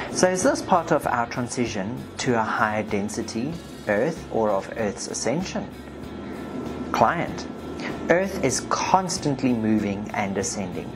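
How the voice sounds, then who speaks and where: A woman with a South African accent, hosting a spiritual video and reading session transcripts aloud with emphasis. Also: a bass voice. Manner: emphatic, forceful when reading the client. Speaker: video host, spiritual practitioner. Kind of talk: narration read from session transcripts.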